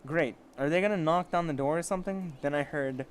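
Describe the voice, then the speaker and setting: Higher-voiced man reading casually over noise. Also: brisk. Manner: sarcastic, profane commentary. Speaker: amateur story reader. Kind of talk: casual fan fiction reading with asides.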